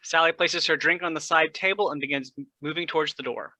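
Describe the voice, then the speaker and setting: A stage directions reader with a bright, forceful voice at a medium pitch, recorded dry. The delivery is scripted narration for a play reading. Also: quick pace, no echo.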